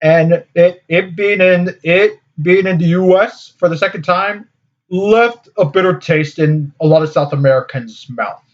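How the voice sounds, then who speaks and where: A man hosting a casual group discussion, speaking haltingly and often repeating words. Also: sits high. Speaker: discussion host. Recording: slightly dull, lo-fi audio.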